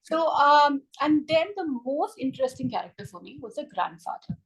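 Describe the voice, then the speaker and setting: A woman reviewing a short story in a webinar, speaking over a video call in a chatty, animated way, often adding "right?" and "you know". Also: sits high, normal speed, creaky, rough recording. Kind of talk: webinar book discussion.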